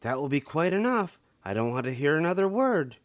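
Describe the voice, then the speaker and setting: A man's voice: on the high side, big pitch swings, brisk, no room sound, pitched above the performer's own voice. A man voicing a stern, exasperated mother bear in a storybook, with a firm scolding manner.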